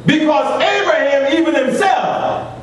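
Emphatic preacher in an echoey church. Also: male voice, very high-pitched, measured pace, a little hiss or hum. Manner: repeats scripture line by line, rising to emphatic shouts. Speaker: pastor leading a Bible class. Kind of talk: call-and-response Bible teaching. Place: church sanctuary, seated at a table. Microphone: far camera. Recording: echoey church room from far off.

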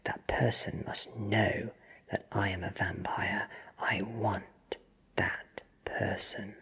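A performer voicing an ancient, powerful vampire who speaks with cold, deliberate menace. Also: sits low, fairly even intonation, pushed and bright, slightly rough, whispered, full character voice.

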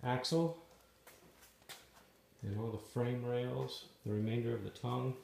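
A man casually narrating, step by step, the assembly of a trailer he is building, talking in a plain, matter-of-fact way with some halting pauses, filmed on a handheld phone in a garage. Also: mid-range voice, fairly even intonation, vocal fry, a little mellow, a little hiss or hum. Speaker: DIY video maker. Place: garage or shop and outdoors by a trailer. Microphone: handheld phone camera.

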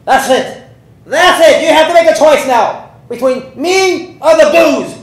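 A man's voice: very high-pitched, unhurried, pitched above the performer's own voice. A man voicing a furious Irish wife in a stand-up routine, with a put-on Irish accent. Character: furious shrill Irish wife giving an ultimatum. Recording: echoey room, distant mic, faint noise.

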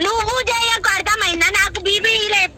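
A woman's voice: very high voice, steady tone, a little fast, over a noisy crowd. A young woman with a Telangana Telugu accent arguing indignantly in a casual speakerphone call. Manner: indignant, combative. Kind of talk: heated casual phone argument.

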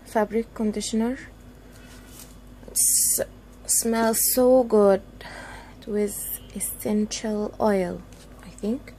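Slow-talking woman, halting casual chat. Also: medium pitch, steady tone, mellow. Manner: halting, searching for words. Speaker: vlogger showing a grocery haul. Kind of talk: unscripted casual show-and-tell chat. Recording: noisy handheld home recording with room ambience.

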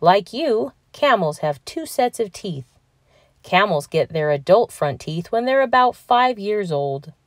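A woman narrating a children's picture book aloud in a scripted read-aloud style, with clearly enunciated words and expressive emphasis. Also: mid-range voice, measured pace, dry recording, clean studio sound.

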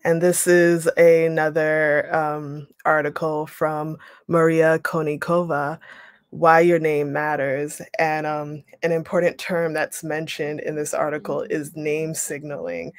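A young woman leading an online writing workshop, reading a passage aloud and then explaining it with relaxed pauses over a video call. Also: low-pitched, dry recording, studio quality. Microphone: webcam on a video call.

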